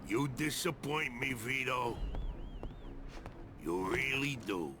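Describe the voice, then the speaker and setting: An actor playing an arrogant American dockside mob boss in a crime game, bullying and full of streetwise slang. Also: male voice, medium pitch, expressive, takes it slowly. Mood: defensive, angry. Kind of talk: gangster drama acting. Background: loud music.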